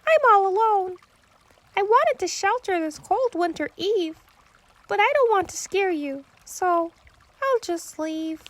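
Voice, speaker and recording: A woman voicing a tiny young male mouse, timid, polite and forlorn, with a small squeaky voice, for a children's storybook. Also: expressive, takes it slowly, exaggerated voice. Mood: sad, timid.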